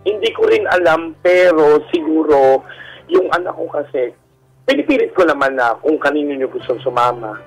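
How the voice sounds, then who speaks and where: A husky middle-aged man with a Filipino accent shares his own story on a radio show. His voice sits a little high, and he speaks candidly in halting pieces. Music plays underneath. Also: lively intonation, rough recording. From Philippines. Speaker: guest telling his life story, a stage performer. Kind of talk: personal storytelling in a radio interview. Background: background music.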